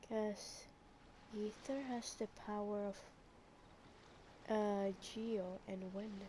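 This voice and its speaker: A woman giving casual, relaxed, musing commentary as she plays through a game. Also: mid-range voice, very slow, a little hiss or hum. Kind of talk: casual game playthrough commentary, reading out dialogue choices.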